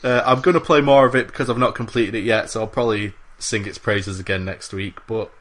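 A man's voice: lowish voice, fairly even intonation, a little fast, fairly bright tone. A man co-hosting a casual games podcast, talking with enthusiasm, with lots of fillers and the odd swear word. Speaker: podcast co-host. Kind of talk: casual chat.

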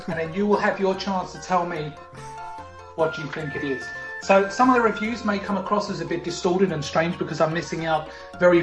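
A man's voice: sits high, natural voice. Comedy parody: a man playing a cocky Australian male TV game show host, put-on accent, showy bluster, echoey room. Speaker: podcast host. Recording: roomy echo with faint music.

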